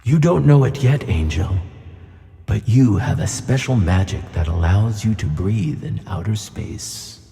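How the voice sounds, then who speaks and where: A man's voice: lowish voice, animated, measured pace, vocal fry, mellow, soft background music. A man playing a kindly, reassuring magical tuna fish who flies, in a children's audio play. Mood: gentle explaining. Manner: reassuring, tender. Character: gentle flying tuna fish, male, kindly magical friend. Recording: long reverb on the voice.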